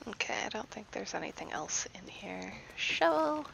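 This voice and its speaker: A woman streaming a game, chatting softly and giggling, slightly raspy from allergies. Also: medium pitch, normal speed. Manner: relaxed, giggly, reads choices aloud. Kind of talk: soft-spoken gameplay chat. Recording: faint background noise.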